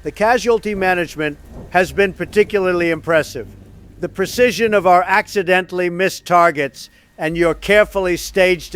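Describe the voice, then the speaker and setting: Scheming politician, audio drama: polished, self-congratulatory, resonant and slightly high-pitched. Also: male voice, a bit bright, clean tone, no echo. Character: self-satisfied, scheming male federal president.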